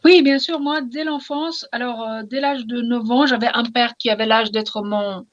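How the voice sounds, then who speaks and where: A woman's voice: a little high, steady tone, muffled recording. A middle-aged woman from Iran, speaking French with a Persian accent, talking passionately and reflectively as a novelist in an interview, often repeating phrases for emphasis. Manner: passionate, emphatic, with repetitions and pauses.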